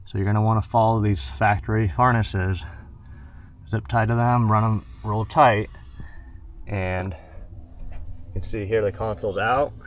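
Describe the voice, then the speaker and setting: Low-voiced man, plain-spoken how-to. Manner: plain-spoken, matter-of-fact, step-by-step. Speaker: host of a truck DIY install video. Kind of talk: hands-on how-to narration, casual. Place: in and around a pickup truck. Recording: steady background noise.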